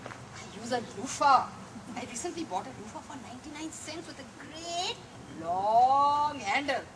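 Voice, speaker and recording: A woman's voice: high voice, expressive, normal speed. A woman performer giving a dramatic reading of a play on stage. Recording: distant mic, clear background noise.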